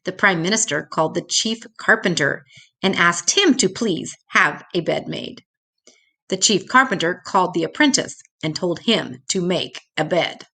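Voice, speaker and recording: An older woman reading a children's picture book aloud in a deliberate, expressive way, drawing out key words for young listeners. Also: lowish voice, gravelly, slightly rough.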